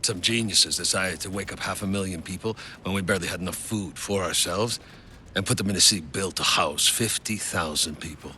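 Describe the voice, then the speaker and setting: An actor playing a bitter, sardonic, foul-mouthed veteran in a video game cutscene. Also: male voice, low-pitched, a little fast, bright, forceful tone. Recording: faint background noise.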